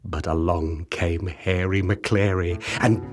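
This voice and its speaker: A man narrating a children's picture book in rhyme, with dramatic, measured, expressive storytelling. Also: low-pitched, noisy background. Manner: dramatic, measured storytelling with rhythmic rhyming delivery. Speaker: audiobook narrator. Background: music.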